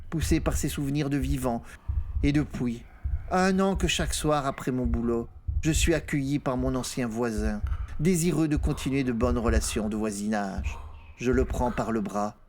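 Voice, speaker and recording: A man's voice: mid-range voice, normal speed, darkish tone, a little hiss or hum. A man narrating an audiobook, telling a first-person horror story in a dramatic style.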